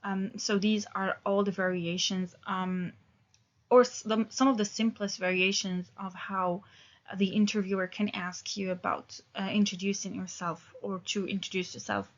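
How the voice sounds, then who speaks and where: A woman in her thirties teaching an English lesson, talking in a relaxed, hesitant way with many fillers and restarts. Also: mid-range voice, normal speed, dull audio. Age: 30s.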